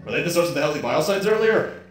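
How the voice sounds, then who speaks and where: A man giving voice to a calm male ship computer that briefs its agent in a measured, formal tone. The pitch moves widely. It sits in a roomy echo with game music behind it, and a slightly stuffy nose comes through. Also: lightly acted. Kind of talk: reading game dialogue aloud.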